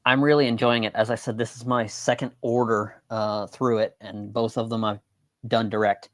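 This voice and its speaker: A man's voice: fairly low pitch, level tone, moderate pace, no echo. A man talking casually as a guest on a show, matter-of-fact and rambling, often repeating himself. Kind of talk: casual interview talk.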